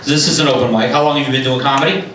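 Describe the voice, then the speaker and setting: A man's voice: mid-range voice, fairly even intonation, racing. A man hosting an open mic, interviewing a comic in a loose, joking way. He swears often and laughs a lot. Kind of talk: loose, profane comedy interview. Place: small open-mic club stage, indoors. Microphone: handheld mic, filmed by a far camera. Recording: very echoey far-off audio with loud background noise.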